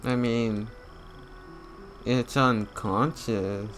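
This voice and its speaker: A man's voice: medium pitch, steady tone, extremely slow, a bit bright, soft background music. A man doing casual gameplay commentary in short, muttered asides. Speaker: gamer playing and reacting.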